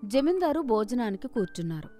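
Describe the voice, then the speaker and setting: A woman's voice: a little high, very animated, brisk, dark tone, soft background music. A woman storyteller reading scripted narration for a moral story.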